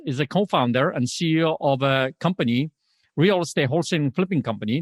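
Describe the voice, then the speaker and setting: A man's voice: a little high, dry recording. A man hosting a podcast in an upbeat, emphatic, motivational way, often posing rhetorical questions as he introduces and interviews. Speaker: podcast host.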